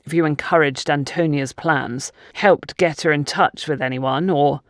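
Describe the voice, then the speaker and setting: Woman playing a brusque, low-toned interrogator. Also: unhurried, no echo, studio quality. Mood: impatient, threatening. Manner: brusque, impatient. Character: brusque, impatient interrogator issuing veiled threats.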